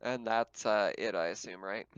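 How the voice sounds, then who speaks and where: A man's voice: lowish voice, normal speed, slight background noise. A man speaking casually as game master, narrating and refereeing a tabletop roleplaying game, swearing freely, on a slightly muffled microphone.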